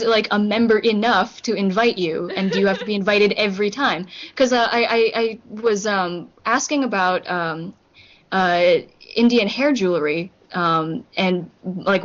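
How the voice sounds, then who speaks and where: A young woman from Hong Kong chatting casually on a podcast, rambling with lots of fillers and restarts. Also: mid-range voice. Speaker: podcast co-host. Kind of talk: casual group chat. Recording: muffled lo-fi recording.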